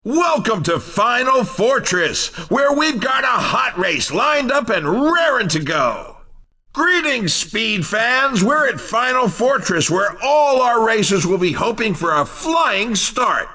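Zany male race commentator for a cartoon racing game: showy, bright and forceful, slightly off-mic. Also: sing-song, slow, far from the mic, full character voice. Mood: excited, welcoming. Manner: showy, punning, booming announcer delivery. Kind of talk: excited arcade sports commentary.

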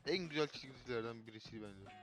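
A man's voice: mid-range voice, very fast, fairly bright tone, rough recording. A man chatting casually while reacting to cartoons. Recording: music playing behind the voice. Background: cartoon theme music.

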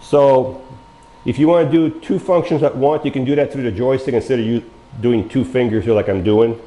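An older man demonstrating a power wheelchair in a showroom, talking folksy and step by step to viewers he calls folks, his voice going hoarse with coughs. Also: mid-range voice, steady tone, fairly quick, mellow. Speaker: mobility dealer demonstrating a wheelchair. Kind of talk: product demonstration talk. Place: large bare showroom studio, indoors. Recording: echoey room.

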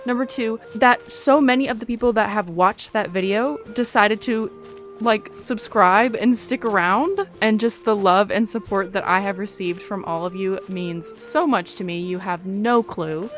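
A young woman chats casually through a game playthrough. Her medium-pitched voice is playful and gushing, often cutting herself off, with faint music behind. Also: normal speed. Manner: gushing, self-interrupting, playful. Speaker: gaming video creator. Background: soft game music.

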